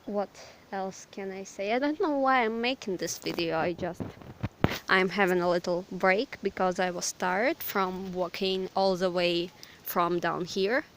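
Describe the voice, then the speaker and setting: Young woman, Ukrainian accent, hoarse from a cold; slow, unsure, apologetic vlog chat outdoors in a forest. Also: mid-range voice, steady tone, slight background noise, low-quality recording. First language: Russian. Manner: hesitant, apologetic, lots of fillers. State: hoarse, sick. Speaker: vlogger. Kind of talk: casual rambling chat.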